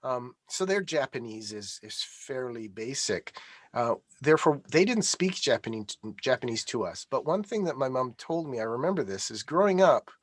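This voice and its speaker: A middle-aged man speaks in an unhurried, halting way, often restarting and filling pauses. His voice sits at an ordinary middle pitch, recorded close and dry with no room sound. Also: sing-song, no echo. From Canada. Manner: hesitant, many restarts and fillers. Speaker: guest. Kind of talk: conversational interview.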